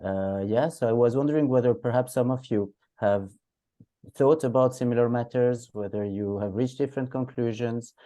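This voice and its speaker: Male academic, warm dark tone, hesitant phrasing. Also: mid-range voice, moderate pace. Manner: hesitant, searching for words. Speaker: workshop participant. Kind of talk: academic discussion comment.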